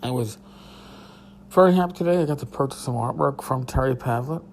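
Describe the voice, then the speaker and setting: A tired man with a hoarse voice, hosting a casual solo podcast from a hotel room and rambling loosely with a bit of swearing. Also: medium pitch, brisk, slight background noise. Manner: rambling, profane, restarts. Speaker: podcast host.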